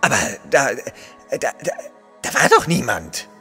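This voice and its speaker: An actor playing an astonished, excitable companion in an audio drama, with a husky voice. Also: male voice, mid-range voice, unhurried, in a large hall, faint music behind, exaggerated voice.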